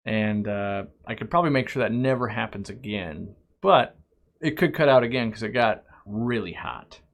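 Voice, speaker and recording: A man's voice: on the low side, animated, moderate pace, vocal fry. A man hosting a casual live-stream Q&A. He reads viewer questions aloud and answers them in a rambling, chatty way, often restarting his sentences. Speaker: aquarium shop owner hosting a live stream.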